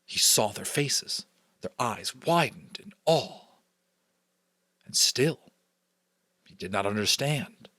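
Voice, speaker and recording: Male American storyteller, very expressive intonation, suspenseful dramatic read, dry close sound. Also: fairly low pitch, slow, pauses often, dry recording. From United States. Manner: theatrical, suspenseful phrasing. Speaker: audiobook reader. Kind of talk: dramatic scripted narration.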